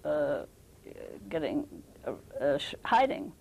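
An older woman from Belgium giving an oral history testimony, speaking haltingly with frequent restarts. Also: deep voice, wildly swooping pitch, vocal fry, darkish tone, breathy edge. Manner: hesitant, halting, many restarts.

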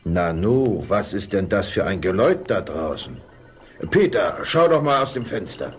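An actor playing a gruff, blustering older master miller in a fairy-tale audio play. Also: male voice, sits low, sing-song, normal speed, a little hiss or hum. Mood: curious. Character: gruff older master miller, haughty yet servile to nobility.

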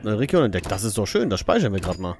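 A man's voice: lowish voice, big pitch swings, quick pace, darkish tone. A man giving casual, informal let's play commentary, joking and muttering to himself as he plays. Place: voice-over recorded apart from the game, game music behind.

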